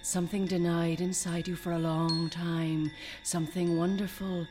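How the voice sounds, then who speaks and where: An actress playing a mysterious captive woman who pleads, flatters and coaxes seductively in an audio drama. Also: on the low side, moderate pace, music in the background, natural voice. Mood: flattering, seductive.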